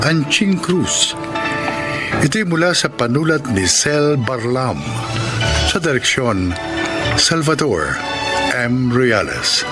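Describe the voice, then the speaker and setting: Casting: solemn man announcer, expressive, unhurried, over music. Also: medium pitch. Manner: slow, measured, solemn. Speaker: program announcer. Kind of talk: formal radio drama outro and intro. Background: loud music bed.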